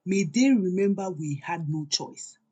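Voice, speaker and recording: A woman voicing an intense, secretive wife in a folktale, with hushed intensity. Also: on the low side, expressive, measured pace, breathy edge, lo-fi sound. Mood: unforgiving. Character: Ronke, intense, secretive, unforgiving pregnant wife.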